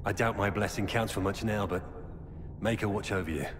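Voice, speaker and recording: An actor playing a grim former Templar turned Qunari informant in a video game, speaking in a grave, measured, earnest way. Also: male voice, lowish voice, fairly even intonation, natural voice. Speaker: game character. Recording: game audio with long reverb and ambient noise.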